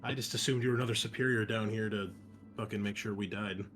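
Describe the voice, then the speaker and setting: A man's voice: lowish voice, steady tone, fast, fairly bright tone, soft background music. A man bantering casually as a player at a tabletop roleplaying game. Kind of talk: casual joking chat. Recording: faint background noise.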